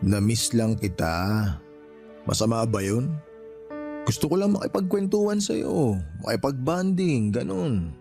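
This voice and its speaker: Radio drama: menacing Filipino stepdad, leering and blustering, very animated delivery, faint music underneath. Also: male voice, medium pitch, a little slow, pauses often. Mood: leering, coaxing. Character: lecherous, menacing, lying live-in stepfather.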